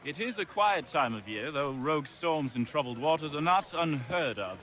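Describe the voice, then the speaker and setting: A man playing a steady, respectful sailor of the age of sail in a video game, matter-of-fact and reassuring. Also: on the high side, moderate pace, slight background noise. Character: steady, reassuring old-time sailor, deferential to passengers. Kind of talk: video game cutscene acting.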